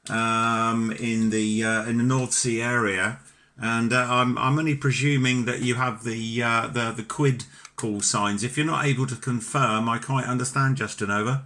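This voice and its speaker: A British man with a notably bright, crisp-edged voice talks over amateur radio. He is chatty and courteous, with open enthusiasm as he carries on a conversational exchange. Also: medium pitch, steady tone, moderate pace. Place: home ham radio station.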